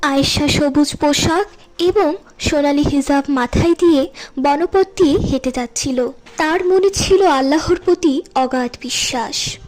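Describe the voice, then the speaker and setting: A woman's voice: high-pitched, unhurried, a little mellow, low-quality recording. A woman narrating a scripted moral story for a cartoon. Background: music.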